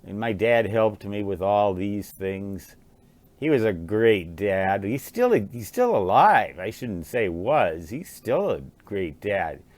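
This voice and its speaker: An American man in his sixties, musing aloud in a halting, rambling monologue and often repeating words. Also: on the low side, very animated, darkish tone, dry recording. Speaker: vlogger, writer and ham radio hobbyist.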